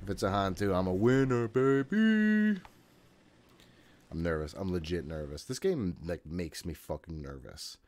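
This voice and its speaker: A man's voice: sits low, big pitch swings, a little slow, a little mellow, dry recording. A man in his thirties giving casual, jittery, profane gameplay commentary, often talking to himself. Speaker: gaming host. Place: room at home.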